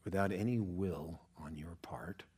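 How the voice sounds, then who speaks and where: A man guiding a relaxation meditation as a yoga nidra teacher, speaking in a calm, measured, soothing way with pauses. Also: low voice, breathy edge.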